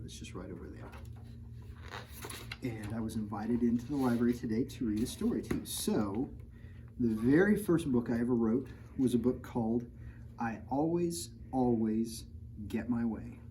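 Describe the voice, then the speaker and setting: A man's voice: mid-range voice, moderate pace, warm tone, slight background noise, poor audio. A man reading a picture book aloud to young children as its author, with joking, informal asides spoken straight to them.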